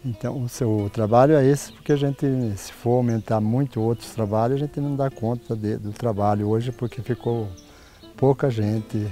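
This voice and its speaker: A man in his sixties, a farmer, answering interview questions outdoors in a plain, halting way. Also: medium pitch, steady tone, warm tone, faint music behind. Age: 60s. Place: outdoors on a farm.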